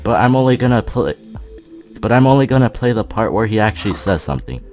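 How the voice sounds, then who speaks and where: A teenage boy talks in a steady monotone, deadpan and sarcastic, his voice sitting a bit low. Faint music plays underneath. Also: fast. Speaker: YouTuber. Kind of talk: casual roast vlog narration.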